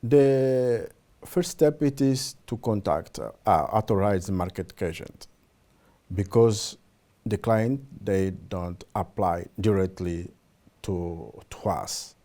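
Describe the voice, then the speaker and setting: Slow, hesitant Portuguese-native man. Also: lowish voice, dark tone. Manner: hesitant, many pauses and fillers. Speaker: citizenship program representative. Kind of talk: interview answers.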